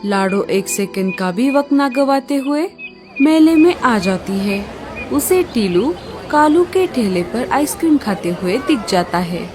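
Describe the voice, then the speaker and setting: A woman's voice: on the high side, animated, measured pace, music in the background. A woman narrating a scripted children's story.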